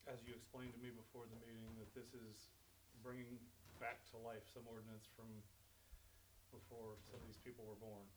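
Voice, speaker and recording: A man's voice: mid-range voice, level tone, normal speed, noisy background. A man giving a blunt, argumentative public comment at a village meeting, with some restarts. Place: village council meeting room. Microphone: speaking without a mic, picked up by a room mic.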